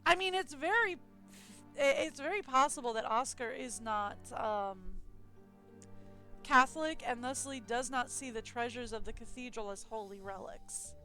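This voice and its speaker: A woman's voice: a little high, normal speed, sharp tone, faint music behind. A woman streaming a game live, commenting in a chatty, sarcastic, self-mocking way.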